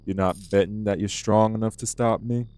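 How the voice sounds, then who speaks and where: A man playing a rough, defiant old vampire, blunt and taunting, in an audio drama. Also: lowish voice, fairly even intonation, brisk, warm tone, soft background music, lightly acted. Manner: blunt, drawling, taunting, colloquial. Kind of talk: dramatic audio roleplay acting.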